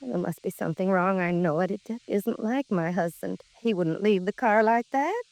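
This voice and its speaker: An actress playing an anxious, plain-spoken middle-aged housewife in a 1950s radio police drama. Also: female voice, mid-range voice, normal speed, creaky, darkish tone, no room sound, lightly acted, poor audio. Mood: worried, insistent. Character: small middle-aged housewife, anxious about her missing husband.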